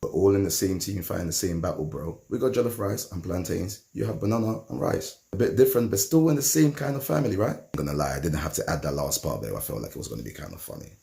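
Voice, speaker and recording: Man from Cameroon, very low, gravelly voice, lecturing. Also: lively intonation, fast, few pauses, dark tone. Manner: lecturing, joking. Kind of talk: casual reaction video.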